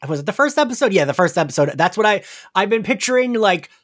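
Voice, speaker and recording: A man's voice: high voice, very animated, fast talker, a bit bright. A man hosting a casual pop-culture podcast chat in a giggly, enthusiastic manner. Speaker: podcast host.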